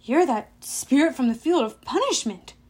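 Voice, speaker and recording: A woman's voice: sits high, animated, normal speed, a little mellow, slightly rough. A woman voicing an earnest, defiant and loyal schoolboy hero in a storybook reading. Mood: curious.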